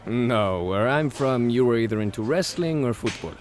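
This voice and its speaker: A friendly but measured male wrestler from Mexico played by an actor talks in a game story. His wry lines move widely in pitch, with clear background noise. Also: expressive, lightly acted. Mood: matter-of-fact. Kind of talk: video game cutscene voice acting.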